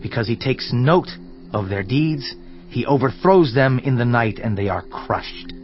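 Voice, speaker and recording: Male actor as indignant biblical counselor, mid-pitched, solemn declamation, audio drama, music underneath, slightly dull sound. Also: expressive, a little slow, lightly acted. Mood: fervent rebuke. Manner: solemn, emphatic, declamatory oratory. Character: Elihu, earnest indignant male counselor rebuking a suffering man. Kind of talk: dramatized scripture reading. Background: background music.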